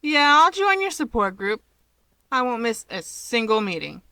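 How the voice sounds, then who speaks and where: A woman's voice: fairly high pitch, very animated, a little slow, sharp tone, no echo, heavily acted. Woman playing a bold, fearless young heroine. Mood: stunned, emphatic. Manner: declarative, emphatic.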